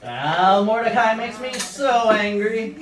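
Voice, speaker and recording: A vengeful, scheming court villain from a puppet show, played by a man, speaks with theatrical indignation in a raised voice. The recording is distant, echoey and clearly noisy. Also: high voice, expressive, slow pace, voice pushed up. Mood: angry, vengeful. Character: scheming, vengeful male villain, a royal official.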